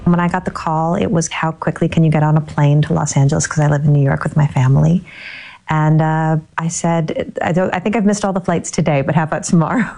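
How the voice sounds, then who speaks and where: A female actor tells anecdotes in an interview in an animated way. Her voice is low and mellow. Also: fast, creaky. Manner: animated storytelling, laughs.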